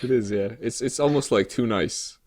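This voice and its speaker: A man's voice: mid-range voice, normal speed, darkish tone. A man co-hosting a comedic video game podcast, chatting casually in a rambling, sweary way. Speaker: podcast co-host. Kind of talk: casual comedic chat about video games.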